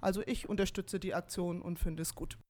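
A woman's voice: lowish voice, steady tone, fairly quick, a little mellow. A woman, a teacher, giving casual, spontaneous answers in an interview.

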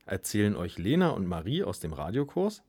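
Husky-voiced man hosting, lively pitch swings. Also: brisk. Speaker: radio show host. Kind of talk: radio show presenting.

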